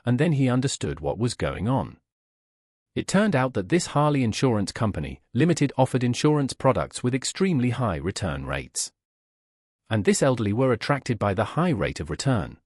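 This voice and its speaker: A man reading a story aloud as scripted narration, with a husky voice. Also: mid-range voice, a little mellow, no room sound, clean studio sound. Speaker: audiobook narrator.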